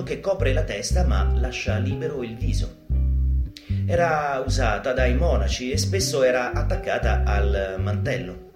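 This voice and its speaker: Male stage performer, deadpan mock-didactic monologue, live roomy sound over guitar. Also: mid-range voice. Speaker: stage performer in a live radio theatre show. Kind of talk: theatrical monologue, mock-instructional lecture to an audience. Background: live guitar music.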